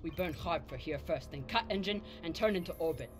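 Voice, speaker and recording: An actor playing a serious, decisive spaceship captain in a video game, speaking in a terse, commanding way. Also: male voice, on the high side, brisk, close to an everyday voice. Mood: resolved. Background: loud music.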